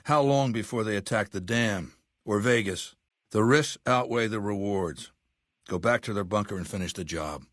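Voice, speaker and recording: Casting: male actor as ruthless game warlord, lowish pitch, dry close sound, deliberate, condescending command. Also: fairly bright tone, no echo, lightly acted. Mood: calculating, commanding. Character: ruthless, imperious warlord dictator commanding a legion. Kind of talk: video game character voice acting.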